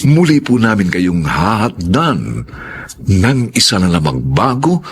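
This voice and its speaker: A man's voice: deep voice, sing-song, a little slow, husky. A man with a Filipino accent formally and courteously reading a scripted radio drama sign-off as host.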